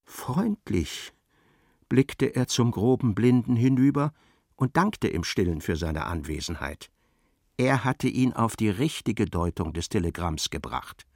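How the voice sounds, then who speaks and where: A man's voice: on the low side, sing-song, a little slow, a little mellow, no room sound, clean studio sound, heavily acted. A man narrating a literary radio play in a dramatic, scripted style.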